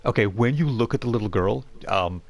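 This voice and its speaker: A man narrating a live tabletop role-playing game as game master, improvising scenes and haltingly searching for words. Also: lowish voice, lively intonation, gravelly.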